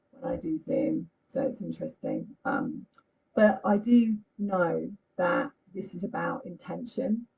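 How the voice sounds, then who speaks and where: Croaky woman on a muffled call. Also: fairly low pitch. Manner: chatty, with frequent fillers and checks like "okay?". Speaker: astrologer and healer. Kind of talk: casual live-stream talk. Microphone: computer mic on a video call. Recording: muffled lo-fi stream audio.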